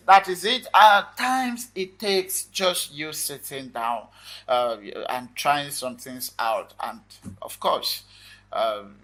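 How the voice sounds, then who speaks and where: Bright, slow-paced man presenting a tutorial. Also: fairly high pitch, rough recording. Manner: informal, addresses viewers as guys, talks through steps in short phrases. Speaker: software tutorial host. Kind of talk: casual screen-recorded tutorial talk.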